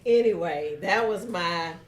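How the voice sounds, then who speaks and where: A woman hosting her show, her voice low and loud enough to carry across a room. She rambles warmly through stories with plenty of "you know" and restarts. The sound bounces around a roomy space. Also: unhurried, a loud crowd. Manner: loud voice that carries, lively storytelling, many fillers. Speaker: talk show host. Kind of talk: casual chat.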